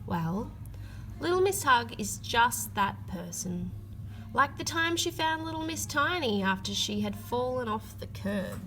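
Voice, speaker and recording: A woman reading a children's picture book aloud, with expressive emphasis and drawn-out key words. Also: on the high side, a little hiss or hum, rough recording. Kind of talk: read-aloud storytelling for children.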